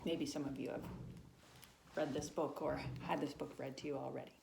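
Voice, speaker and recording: A woman reading a children's storybook aloud as a volunteer, with dramatic pauses and emphasis. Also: rumbling low, fairly even intonation, creaky, darkish tone, a little hiss or hum.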